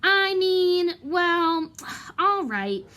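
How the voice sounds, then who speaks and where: Woman playing a vain, cowardly wizard professor, animated pitch. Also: drawn-out words, full character voice. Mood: flustered, evasive. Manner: pompous, hesitant, self-important.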